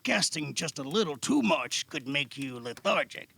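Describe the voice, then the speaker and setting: A man playing a patient, modest veteran magic caster in an amateur audio drama, gravelly and measured, with thoughtful hums. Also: on the high side, big pitch swings, bright, forceful tone, exaggerated voice. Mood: explaining. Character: Alan, weathered veteran male caster, patient, modest, wryly guarded.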